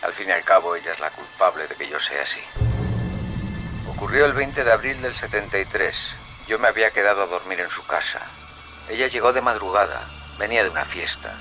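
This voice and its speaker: An actor with an accent from Spain playing a calm serial killer who recounts his crimes in a measured, sardonic way, in a radio drama. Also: fairly low pitch, over music, low-quality recording. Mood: cold, brooding. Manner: measured, coldly matter-of-fact, darkly sardonic. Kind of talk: Spanish radio drama acting.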